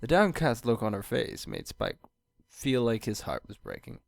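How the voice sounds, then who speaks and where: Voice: mid-range voice, wildly swooping pitch, normal speed, gravelly, dry recording. A narrator reading a scripted fan fiction story aloud.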